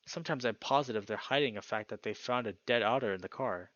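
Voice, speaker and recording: A man's voice: medium pitch, fast talker, a bit bright, no echo, muffled recording, lightly acted. A man voicing a paranoid, guilt-ridden young male animal character, reading visual novel narration in a troubled, confessional way. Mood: troubled, subdued. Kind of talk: visual novel text read dramatically. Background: guitar music.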